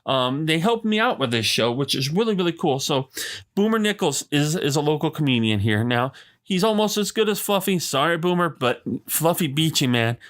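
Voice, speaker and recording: A man's voice: on the high side, fairly bright tone. A man hosting his own podcast, ranting in a rambling, enthusiastic way full of fillers and asides. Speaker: podcast host. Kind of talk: casual solo podcast rant. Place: Arizona.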